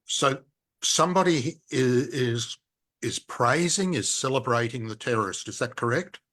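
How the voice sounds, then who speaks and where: A man hosting an interview, asking measured questions and checking points back with his guest. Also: mid-range voice, expressive, a bit bright. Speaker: radio host, interviewer.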